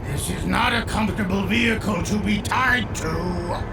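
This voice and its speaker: Gravelly monstrous lizard, high-pitched, boastful, menacing, irritable, audio drama. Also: male voice, moderate pace, music in the background. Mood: grumbling. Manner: grandiose, menacing declarations.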